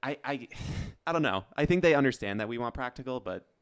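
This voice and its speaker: Smooth-voiced casual male podcaster. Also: mid-range voice, animated, brisk. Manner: informal, acts out imagined quotes. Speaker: podcast co-host. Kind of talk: casual chat about films.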